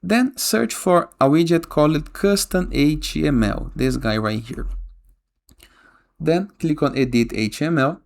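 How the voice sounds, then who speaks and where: A man hosting a casual software tutorial voice-over, giving step-by-step instructions and often checking in with a short questioning tag. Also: on the high side, expressive, measured pace, creaky. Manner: frequently tags instructions with a questioning "okay?". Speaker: tutorial host. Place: voice-over for a screen recording.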